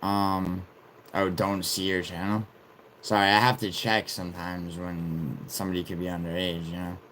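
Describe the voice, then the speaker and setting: Low-voiced man rambling on a livestream. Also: flat delivery, normal speed, a bit bright, slight background noise. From born in Italy, raised in New York City. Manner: rambling, swearing, reading chat aloud. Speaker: livestream host. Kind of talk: casual livestream chat.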